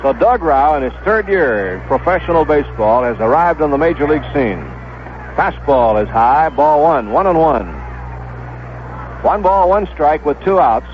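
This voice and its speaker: A man's voice: fairly high pitch, lively intonation, moderate pace, dull audio, slight background noise. A man with an American accent calling baseball play-by-play on a 1970s radio broadcast. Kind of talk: live radio sports commentary. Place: stadium broadcast booth.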